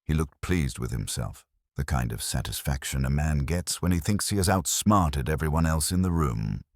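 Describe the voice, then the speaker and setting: A man's voice: a bass voice, expressive, moderate pace, dry recording, clean studio sound. A man reading a first-person detective story as an audiobook narrator.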